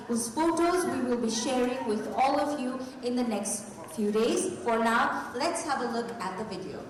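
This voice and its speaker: A woman in her thirties speaking as master of ceremonies, delivering a scripted formal address to an audience from a podium. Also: fairly high pitch. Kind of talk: formal event hosting speech from a script. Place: large indoor conference hall, at a podium. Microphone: podium gooseneck microphone. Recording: echoey hall PA, distant, audience noise. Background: audience in the hall.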